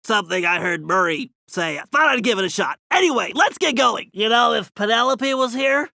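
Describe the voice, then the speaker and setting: A man voicing a nerdy, brainy and anxious turtle strategist in an animated video game, with a nasal voice and precise, earnest, wordy delivery. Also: high voice, a little fast, vocal fry, no echo, exaggerated voice. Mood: eager, focused.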